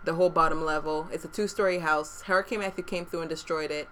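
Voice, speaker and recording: Bright-voiced woman chatting frankly. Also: low-pitched, fairly even intonation, quick pace, a little hiss or hum. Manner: frank, chatty, many "like" fillers. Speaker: podcast co-host, real estate investor. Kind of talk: casual podcast chat. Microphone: desktop USB microphone.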